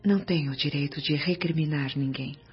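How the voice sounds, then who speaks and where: A Brazilian actress playing a humble, suffering woman spirit in a radio drama, with a breathy, often whispering voice and tender delivery. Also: low-pitched, brisk. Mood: pained, remorseful. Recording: dull lo-fi radio drama recording. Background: soft music.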